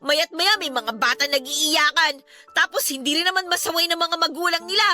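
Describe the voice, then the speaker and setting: Very high-voiced, frustrated teenage daughter. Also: female voice, brisk, sharp tone, soft background music, heavily acted. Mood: frustrated, weary. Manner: pleading, questioning, respectful to her father. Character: distressed teenage daughter of a poor flooded family. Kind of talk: Tagalog radio drama acting.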